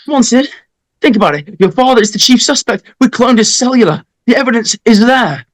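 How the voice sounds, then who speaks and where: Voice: mid-range voice, animated, brisk, dry recording, natural voice. Performer as a gleeful, swooping killer sidekick guy. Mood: gloating. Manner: taunting, theatrical delivery. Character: gleeful, goofy young male killer sidekick. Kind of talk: dramatic script table read.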